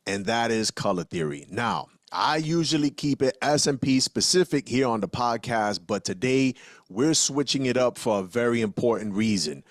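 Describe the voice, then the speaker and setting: A man's voice: mid-range voice, animated, normal speed, no echo, studio quality. A man hosting a podcast, introducing and interviewing a guest with emphatic enthusiasm. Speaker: podcast host.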